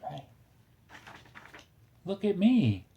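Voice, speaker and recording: A man reading a picture book aloud with a small child, encouraging and pausing so the child can finish the phrases. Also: sits high, big pitch swings, vocal fry, warm tone.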